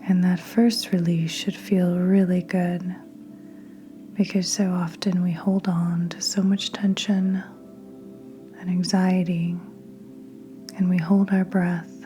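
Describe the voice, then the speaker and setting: A woman guiding a meditation in a soft, breathy near-whisper, gentle and soothing, with long unhurried pauses. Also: lowish voice. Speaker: podcast host and meditation guide. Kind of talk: guided meditation narration. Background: soft meditation music with singing bowls.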